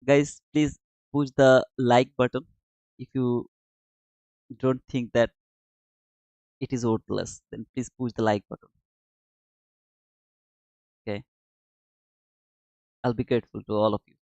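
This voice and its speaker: A man from Bangladesh talks through a live trading session, breaking off to chat with his viewers. His voice sits at an ordinary pitch and sounds dull and lo-fi. The room is dry and close. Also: flat delivery, slow pace, vocal fry, long pauses, darkish tone, no echo. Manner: chatty, reads out viewer comments. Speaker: trading streamer. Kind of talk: live trading stream commentary.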